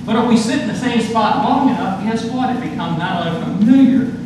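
A man's voice: sits high, lively intonation, a little fast. An older man preaching a sermon at a pulpit, telling folksy stories and acting out their characters. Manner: storytelling, voices the characters in his anecdotes. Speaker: guest pastor. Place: church sanctuary, at a pulpit. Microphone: far camera at back of the church. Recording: echoey church from far off, hiss.

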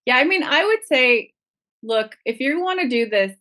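A woman's voice: sits high, expressive, normal speed, sharp tone. A woman guest on a podcast, talking frankly and earnestly about cooking with a friend. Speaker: guest, TV presenter. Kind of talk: casual interview chat.

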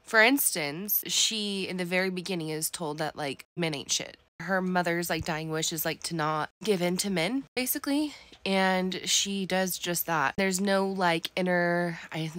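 A woman's voice: lowish voice, a little slow, fairly bright tone. A young woman talking casually about her reading in a vlog, rambling with many fillers. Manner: chatty, rambling, lots of "like" fillers. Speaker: book vlogger.

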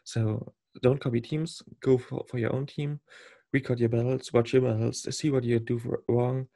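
A man from Germany with a German accent, speaking as a podcast guest in casual, halting talk with frequent chuckles. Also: mid-range voice, level tone, vocal fry, dark tone. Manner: halting, restarts words, chuckles often. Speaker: podcast guest, competitive game player.

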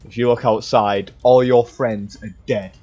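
A man's voice: medium pitch, steady tone, a little slow, dark tone. A young man improvising casually in character in a video game roleplay. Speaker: gaming roleplayer. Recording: dull lo-fi game mic, music behind.

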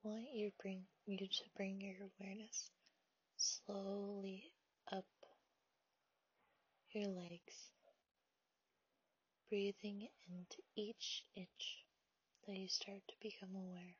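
Woman meditation guide: breathy half-whisper, unhurried delivery, muffled dry home recording. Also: mid-range voice, level tone, no echo. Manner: soft, half-whispered, slow and soothing.

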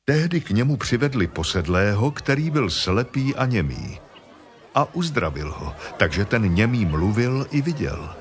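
A man's voice: on the low side, very animated. A man narrating a dramatized Bible reading from a script.

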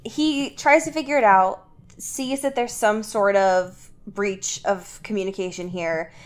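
A woman hosts a casual podcast, recapping a story in mid-pitched speech. Her wording is loose and slangy, and she acts out what characters say as she tells it. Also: a little slow. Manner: animated storyteller acting out characters' reactions in slang. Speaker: podcast host.

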